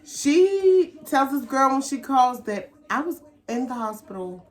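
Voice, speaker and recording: A woman's voice: sits high, measured pace, poor audio. Woman telling a candid, slangy story. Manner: animated, slangy, candid storytelling with acted-out quotes. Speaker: vlogger telling a personal story. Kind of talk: casual storytime chat.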